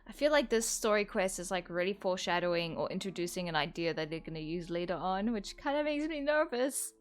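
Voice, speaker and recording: A woman's voice: fairly low pitch, lively intonation, brisk. Chatty woman commenting on a game. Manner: chatty, thinking aloud, fillers like "like". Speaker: gaming streamer. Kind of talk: casual let's-play commentary.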